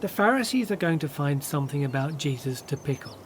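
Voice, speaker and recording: An actor playing a kindly, patient disciple in a radio play, speaking in a gentle, reassuring manner. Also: male voice, on the high side, expressive, brisk, a little hiss or hum, natural voice.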